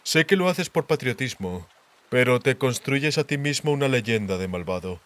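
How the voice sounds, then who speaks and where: A man voicing a grave, worldly king in an audiobook, speaking in a measured, deliberate, cautionary way. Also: mid-range voice, animated, a bit bright, close to an everyday voice. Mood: grave warning. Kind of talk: audiobook character dialogue.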